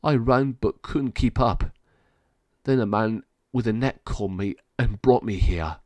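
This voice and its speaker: A man's voice: fairly low pitch, unhurried, a little mellow, no echo, close to an everyday voice. A man voicing a wistful, trusting dog caged in a pound, speaking simply and mournfully in a storybook reading.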